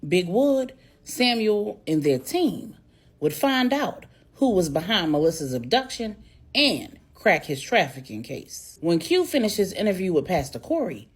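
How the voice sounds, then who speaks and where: An American woman narrating urban fiction in an expressive storytelling style. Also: lowish voice, breathy edge.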